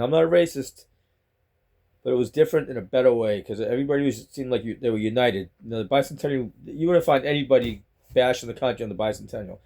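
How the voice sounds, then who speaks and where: A man's voice: medium pitch, mellow. A middle-aged man with a Boston accent, rambling casually to a phone on a selfie stick at home. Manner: chatty, rambling, repeats himself. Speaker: vlogger. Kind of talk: rambling casual talk to camera.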